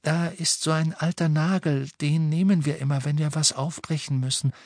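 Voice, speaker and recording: A gentle, helpful teenage girl acted by a male reader in a storybook. The voice is raised in pitch, dreamy, polite and unhurried. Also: fairly even intonation, dry recording, clean studio sound. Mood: gentle, calm.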